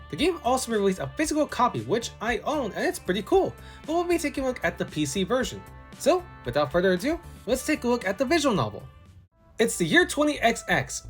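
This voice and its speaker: A man delivers a scripted game review with an enthusiastic, very animated voice that rises and falls freely. He speaks as a reviewer presenting to viewers, with music playing behind him. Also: high-pitched. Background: background music.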